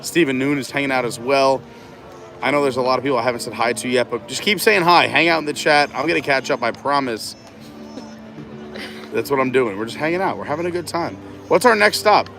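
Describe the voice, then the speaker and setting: A man's voice: medium pitch, a little fast, gravelly. A man with a New York accent hosting a casual theme park livestream, chatty and laughing often. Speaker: livestream host. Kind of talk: casual chat on a live walking stream. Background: loud music.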